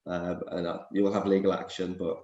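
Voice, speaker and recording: A man with a hoarse voice from a cold, talking quickly and animatedly as a doctor interviewed on a show. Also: sits low, level tone, darkish tone, echoey room, dull audio. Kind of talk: video call interview chat.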